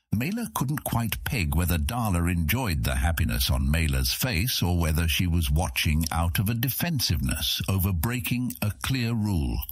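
A man's voice: low-pitched, slightly rough, no echo, clean studio sound. A man reading scripted fantasy narration as an audiobook narrator.

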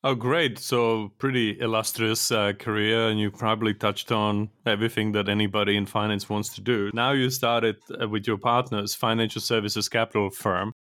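A man hosting a podcast interview, conversational and halting, often restarting his questions. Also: lowish voice, little pitch movement, fairly bright tone, dry recording, studio quality. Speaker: podcast host.

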